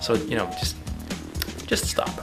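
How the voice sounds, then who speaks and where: A man's voice: unhurried, over music. A man speaking in a wordy, exasperated way. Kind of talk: ranting to camera.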